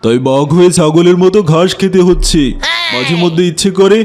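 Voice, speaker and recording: A man's voice: high-pitched, normal speed, lightly acted. A man voicing a blustering big cat in a children's animal cartoon, talking in a colloquial way. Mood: glum, grumbling. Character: big cats: bossy lion king, grumbling tiger.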